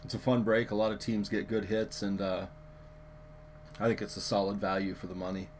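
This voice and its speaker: Man, hoarse voice, casual stream host, filler-heavy, faint hum. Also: on the low side, brisk. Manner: relaxed, filler-heavy, talks to chat viewers. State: hoarse, lost his voice. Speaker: card break host. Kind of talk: live stream chat.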